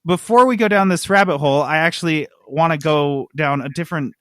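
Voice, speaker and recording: A man's voice: a little high, fairly quick, a bit bright, dry recording, studio quality. An American man hosting a casual gaming news chat, sardonic and prone to sweary outbursts. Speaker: podcast host.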